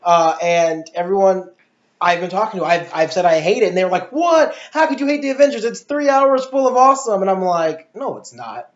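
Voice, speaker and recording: A man's voice: sits high, lively intonation, a little fast. An adult man giving a casual, opinionated movie review in a blunt, exasperated, slangy way. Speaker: video reviewer and host. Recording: echoey room, dull lo-fi audio.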